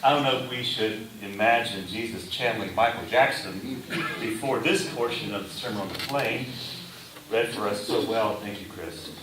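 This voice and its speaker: A man's voice: lowish voice, normal speed. A man preaching a sermon in a conversational style, addressing a congregation. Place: large reverberant indoor church space. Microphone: distant microphone. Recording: very echoey room, distant mic, clear noise.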